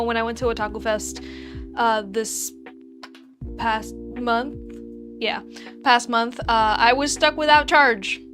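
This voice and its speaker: A woman's voice: fairly high pitch, fairly bright tone. A young woman from Argentina hosting a casual livestream, chatting with viewers in a self-teasing way and laughing often. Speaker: livestream host.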